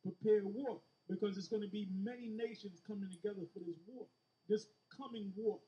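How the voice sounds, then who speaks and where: A man teaching scripture in an informal sermon, halting and repeating words as he explains in blunt street-preacher phrasing. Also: high-pitched, a little mellow, muffled recording. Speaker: religious teacher leading a scripture discussion. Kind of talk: informal sermon with verse-by-verse commentary.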